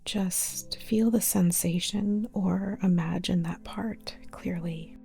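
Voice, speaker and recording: A woman guiding a relaxation meditation in a soft, breathy near-whisper, calm and soothing, with short spaced phrases. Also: fairly low pitch, takes it slowly. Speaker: yoga nidra meditation guide. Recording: modest older mic, music behind.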